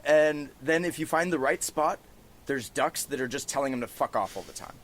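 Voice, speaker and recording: A man's voice: medium pitch, moderate pace, fairly bright tone. A man hosting a casual podcast outdoors, telling stories in slangy, profane, exuberant language. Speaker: podcast host. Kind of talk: casual chat. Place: outdoors on a porch.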